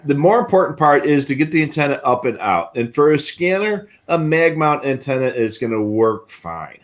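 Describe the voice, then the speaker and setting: A man's voice: a little high, expressive, moderate pace. A middle-aged man with an American accent co-hosting a livestream, explaining things in a folksy, conversational way. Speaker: co-host of a radio scanner show. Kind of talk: casual explanatory talk on a livestream. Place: indoor home radio room on a video call.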